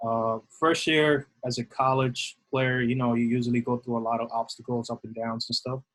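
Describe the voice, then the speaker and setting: Husky new guy, middle-aged, rambly with lots of ums. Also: medium pitch, poor audio. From New York City. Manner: rambling, many fillers and restarts. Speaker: guest, assistant baseball coach. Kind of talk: casual interview answers.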